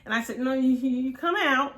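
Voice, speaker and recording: A woman in her sixties telling personal stories as an interview guest, candid and expressive, often acting out what was said. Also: high voice, normal speed. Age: 60s. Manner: expressive, quotes herself and others as she tells it. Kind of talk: candid personal storytelling in conversation.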